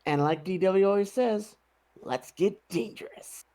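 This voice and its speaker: A man co-hosting a casual podcast in a calm, somewhat hesitant manner. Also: high-pitched, unhurried. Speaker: podcast co-host.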